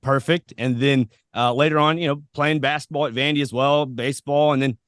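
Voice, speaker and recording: A man's voice: mid-range voice, fairly even intonation, fairly quick, a bit bright, no echo, studio quality. An American man hosting a podcast, chatting in a casual, rambling, informal way as he interviews. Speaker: podcast host. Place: podcast set.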